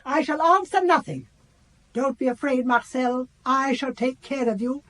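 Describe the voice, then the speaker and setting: A woman's voice: sits high, a little slow, a bit bright. An actress playing an imperious, fiercely protective older mother in a radio drama, indignant and clipped. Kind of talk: British radio drama acting.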